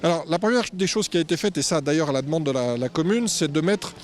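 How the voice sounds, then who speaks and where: A man giving formal, measured interview answers as a manager. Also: fairly high pitch, expressive. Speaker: ski area manager.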